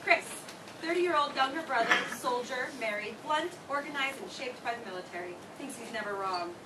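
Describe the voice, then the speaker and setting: Woman reading stage directions, high voice, noisy theater recording. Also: animated, normal speed. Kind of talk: scripted play narration. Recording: distant, echoey, noisy live theater recording.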